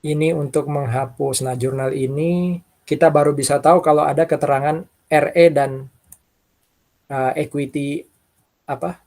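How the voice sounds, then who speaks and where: A man with a husky voice, lecturing informally and talking through worked examples, often checking in with short tags. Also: on the high side, steady tone, moderate pace, pauses often, a little mellow. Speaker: accounting lecturer.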